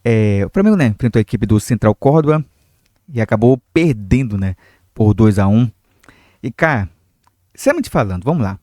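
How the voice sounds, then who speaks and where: Chatty Brazilian man, mellow and recovering from a cold. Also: fairly low pitch, animated, moderate pace, pauses often, dry recording, clean studio sound. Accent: Brazilian Portuguese. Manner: chatty, many fillers, addresses viewers directly. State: recovering from a cold, irritated throat. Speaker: football commentary channel host. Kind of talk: casual football commentary to camera.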